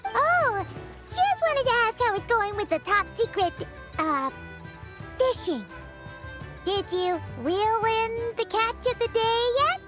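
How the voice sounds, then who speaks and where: A tiny chirpy fairy girl from a video game, with an extremely high, squeaky voice that bounces around in pitch. She sounds childlike and curious, with prominent music playing behind her. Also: animated, slow, full character voice. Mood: curious, hesitant. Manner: speaks of self in third person.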